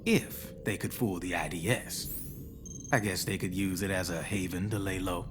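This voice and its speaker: An actor playing a smug, worldly arms dealer in a video game, explaining things in a cocky, folksy way. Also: male voice, deep voice, normal speed, noisy background, natural voice. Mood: smug, emphatic. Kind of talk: cinematic video game acting, expository dialogue.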